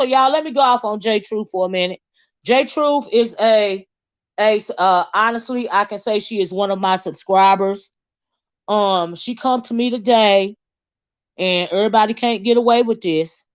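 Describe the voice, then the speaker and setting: A woman hosting a casual livestream with sassy, animated, teasing talk and frequent laughter. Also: medium pitch, dry recording, highs cut off. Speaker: channel host.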